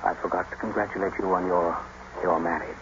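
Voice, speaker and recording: Brooding gentleman, low voice, bitter, sardonic, deliberate, noisy old radio drama recording. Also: fairly even intonation, lo-fi sound. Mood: bitter sarcasm. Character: brooding, bitter, sardonic self-made gentleman, once stable boy. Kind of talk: 1940s-50s radio drama acting.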